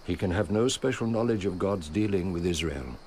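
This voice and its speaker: An actor playing a devout, shrewd old merchant and loyal steward in a radio drama, speaking in an earnest, measured way. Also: male voice, low voice, expressive, darkish tone. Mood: fervent. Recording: radio drama with faint background noise.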